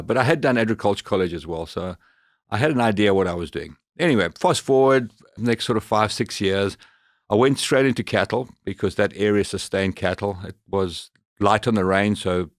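A middle-aged man with a deep voice and a Zimbabwean accent talks as a podcast guest. He tells his story conversationally, in a very dry recording. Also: normal speed, no echo. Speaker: podcast guest, professional hunter.

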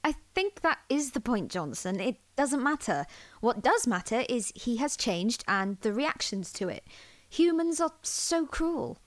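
A woman's voice: a little high, very animated, unhurried, no echo. A woman playing an anxious, melodramatic young student in an audio drama, prickly, squeamish and sarcastic. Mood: bookish, wry.

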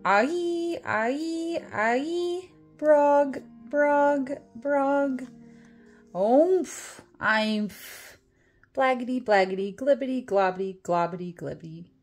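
Woman doing a kids storytime read aloud, takes it slow, dramatic and silly, music playing behind. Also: high-pitched. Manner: playful, exaggerated mock dismay, dramatic drawn-out words and whispers. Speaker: storytime reader. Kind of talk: animated children's picture book read-aloud.

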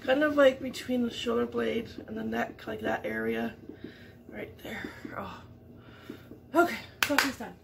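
A woman's voice: fairly high pitch, sing-song, unhurried, vocal fry, background noise. A woman in her thirties chatting casually to camera in her home kitchen, rambling and laughing often. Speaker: vlogger. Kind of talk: casual morning chat to camera.

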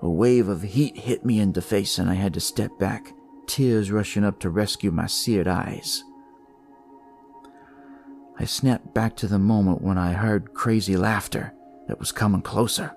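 A man narrating dramatic noir horror in the first person, with a deliberate, brooding delivery. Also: on the low side, darkish tone. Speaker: storyteller reading in first person as a detective. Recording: music behind the voice.

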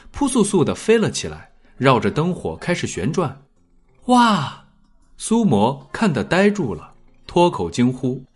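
A man's voice: mid-range voice, breathy edge. A man narrating a novel as a scripted, dramatic audiobook. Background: background music.

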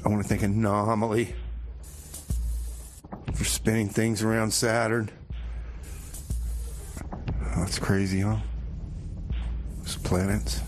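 A man's voice: fairly low pitch, level tone, slow, pauses often, faint music behind. A man hosting a casual comedic radio show in a folksy, rambling way, often repeating himself. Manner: folksy, rambling, hesitant repetitions.

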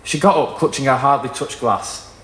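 A young man giving an expressive, theatrical live reading of literary fiction. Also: mid-range voice, moderate pace. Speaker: story reader. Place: small indoor performance room with wooden wall. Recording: roomy live venue sound.

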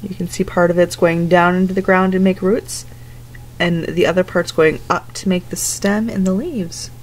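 A woman's voice: lowish voice, fairly even intonation, moderate pace, a little hiss or hum, rough recording. A woman in her thirties reading a picture book aloud to young children, instructing in simple phrases and putting questions to them. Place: indoors at home, living room couch.

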